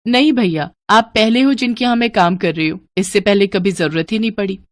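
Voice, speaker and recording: A woman voicing a gentle, devoted young wife in a dramatised moral story, earnest, soothing and respectful. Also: mid-range voice, brisk, no echo, lightly acted. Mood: polite.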